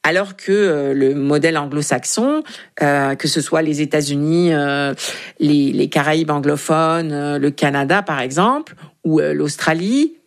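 Lower-voiced woman explaining as expert guest. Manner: deliberate, explanatory, enumerating. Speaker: intercultural consultant, expert guest. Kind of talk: explanatory radio interview.

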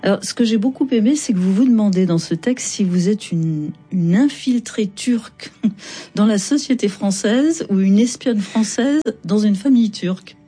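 A woman's voice: mid-range voice, lively intonation, normal speed, dark tone, husky. A woman hosting a conversational literary interview, engaged and probing as she puts questions to her guest. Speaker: interviewer, book programme host.

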